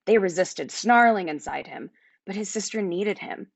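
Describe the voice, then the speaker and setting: A woman narrating a children's fantasy book aloud as its author, in an expressive storytelling style. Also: medium pitch, moderate pace, vocal fry, no echo. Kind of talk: animated children's audiobook narration.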